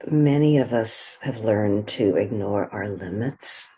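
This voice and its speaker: A woman guides a meditation at a very unhurried pace. Her voice is soft and husky, with a breathy edge. She speaks gently and soothingly in short phrases, leaving room between them. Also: rumbling low, animated.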